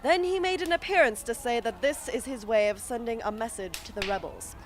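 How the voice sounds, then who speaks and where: A woman playing a brisk, articulate rebel film director in an amateur radio play. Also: a little high, lightly acted. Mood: urgent. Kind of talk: amateur radio drama acting.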